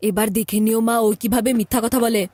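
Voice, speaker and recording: A woman voicing an indignant, tattling little brother in an animated cartoon dub, whiny and accusing. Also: on the high side, quick pace, over music, natural voice. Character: tattling, indignant younger brother, small boy.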